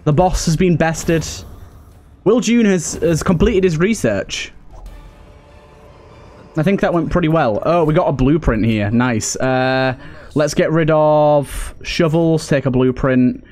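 A man's voice: fairly high pitch, slow pace, a little mellow. A man giving casual, chatty gameplay commentary as a let's play host, thinking aloud with mild understatement. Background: video game music.

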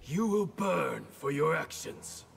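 An actor playing a fierce, protective young ninja with a sense of honor in a video game, stern and intense. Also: male voice, on the high side, animated, takes it slowly, gravelly, fairly bright tone, faint music behind, exaggerated voice. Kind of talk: video game fighter dialogue.

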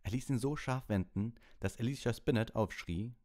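A man's voice: mid-range voice, normal speed, a little mellow. A man reading a story expressively as an audiobook narrator.

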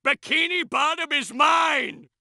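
A tiny, megalomaniac villain from a cartoon video game, speaks in a high, piercingly bright voice with a gravelly rasp. He drags out his schemes at a slow, gloating crawl. His boasts keep breaking into shouts, all of it in a dry, close studio sound. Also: dry recording, full character voice. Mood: taunting. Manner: grandiose boasting with sudden shouts.

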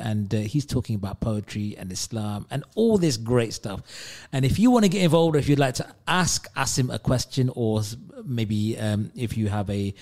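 A man's voice: medium pitch, a little mellow. A man hosting a live radio show in a studio, chatty and self-deprecating, with frequent restarts. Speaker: radio host.

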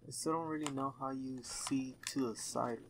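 A man chatting casually over gameplay in a low-key, somewhat hesitant way, as a co-host watching his friend play. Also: steady tone, normal speed, noisy background.

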